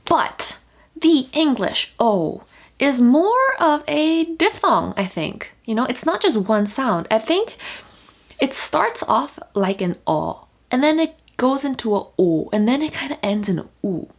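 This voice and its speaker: A young woman whose first language is Korean teaches pronunciation to the camera in a casual, chatty way. She explains patiently, pausing on fillers and restarting her sentences. Now and then she holds a vowel out long to demonstrate it. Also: high-pitched, sing-song. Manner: draws out vowel sounds as demonstrations.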